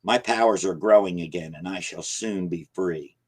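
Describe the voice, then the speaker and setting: A man's voice: low-pitched, normal speed, a little mellow, slightly rough. A man voicing an ancient, malicious shadow spirit that gloats and threatens. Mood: gloating. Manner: gloating, menacing.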